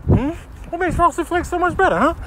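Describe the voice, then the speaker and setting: Indignant old cartoon rooster, played by a man: very high voice, scolding rambles, noisy outdoor clip sound. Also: lively intonation, brisk. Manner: scolding, rambling, punctuated with questioning grunts. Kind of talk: comic animal voiceover.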